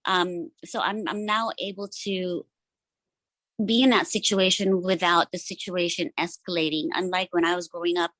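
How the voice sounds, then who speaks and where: A woman in her forties from the Philippines, sharing personally at a support meeting, pausing often with many ums. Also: lowish voice, fairly even intonation, a bit bright, no room sound, rough recording. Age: 40s. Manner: hesitant, many ums and pauses.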